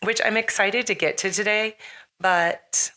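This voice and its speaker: A middle-aged woman with a slightly hoarse, raspy voice hosts a casual podcast chat, rambling with lots of fillers and frequent laughs. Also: medium pitch, fairly even intonation, a little slow, sharp tone. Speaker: podcast host.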